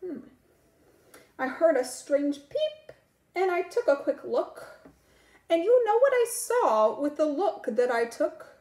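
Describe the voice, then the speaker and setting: A woman's voice: high-pitched, sing-song, slow pace, pauses often, a little mellow, reverberant, full character voice. A woman voicing a flustered young schoolboy who stammers through his excuses. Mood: earnest. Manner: stammering, earnest.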